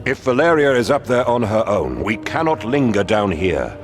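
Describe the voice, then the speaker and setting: A wounded old zealot commander for a game cutscene. His very deep voice rises and falls widely as he speaks in stern, formal commands. Game music plays clearly behind him. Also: sing-song, moderate pace. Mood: solemn. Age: older. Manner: solemn, commanding, grandly formal speech. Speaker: zealot commander of the vault defenders. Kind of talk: video game cutscene voice acting.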